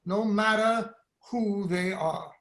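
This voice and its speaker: High-pitched grave envoy, echoing room. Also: male voice, slow, fairly bright tone, exaggerated voice. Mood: grave, urgent. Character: grave, urgent Theban royal envoy bringing an oracle's command. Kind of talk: dramatic reading of Greek tragedy. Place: indoor room on a video call. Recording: roomy, echoing video-call audio.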